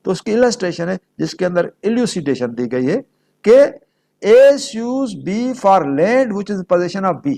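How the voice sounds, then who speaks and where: A man's voice: sits high, big pitch swings, slow, creaky, warm tone. A man with a Pakistani accent giving an explanatory law lecture in a didactic way, posing questions and answering them as he works through examples. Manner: didactic, switches between Urdu and English, poses questions and answers them.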